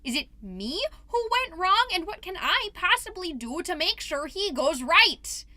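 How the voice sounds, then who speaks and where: A woman's voice: very high voice, big pitch swings, a little slow, pushed and bright, dry recording, full character voice. A woman playing a chatty, wholesome suburban mom podcaster, doting and easily exasperated, in a scripted comedic monologue. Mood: exasperated, fretting. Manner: chatty, dramatic, folksy minced oaths.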